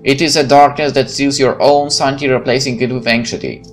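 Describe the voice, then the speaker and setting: A man reading a visual novel aloud in a theatrical, expressive manner, with spoken asides. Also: mid-range voice, a little fast. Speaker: gaming channel narrator. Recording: music behind the voice.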